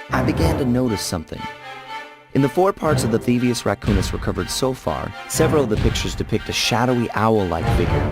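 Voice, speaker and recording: Suave raccoon thief narrating game cutscene, lowish voice, smooth storyteller, music behind. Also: male voice. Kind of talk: scripted first-person game narration. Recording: music under the voice.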